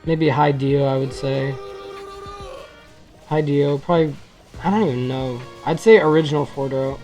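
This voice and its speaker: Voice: medium pitch, moderate pace, darkish tone, soft background music. A gaming video creator giving casual, rambling, informal commentary over gameplay.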